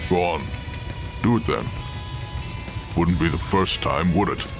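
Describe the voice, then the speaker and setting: Casting: male actor as bitter, drunken old Santa, low register, slow and menacing, audio drama over music. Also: gravelly. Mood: knowing, menacing. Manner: brooding, slow, taunting. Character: weary, bitter, drunken armed Santa Claus, centuries old. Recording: music and rain under the voice.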